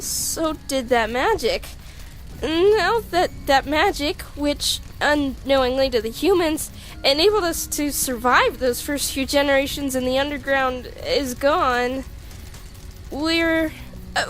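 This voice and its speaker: A woman voicing a nervous female monster scientist, hesitant and stammering, in an animated comic dub. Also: sits high, exaggerated voice.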